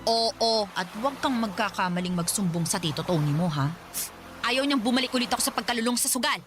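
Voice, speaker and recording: An actress playing a cruel, manipulative Filipino mother in a radio drama, sharp, sarcastic and dismissive as she scolds. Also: on the high side, expressive, fairly quick, background noise, natural voice. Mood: threatening. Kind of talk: Tagalog radio drama acting. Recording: music under the voice.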